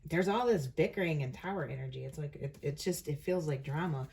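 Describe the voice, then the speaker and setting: Low-voiced woman reading tarot casually. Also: creaky, mellow. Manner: conversational, thinking aloud with frequent pauses. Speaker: tarot reader hosting an online reading.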